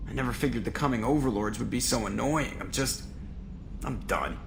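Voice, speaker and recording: A man's voice: mid-range voice, a little fast, gravelly, very noisy, lightly acted. An actor playing a wisecracking, exasperated male starship pilot in a video game, sarcastic and full of complaint.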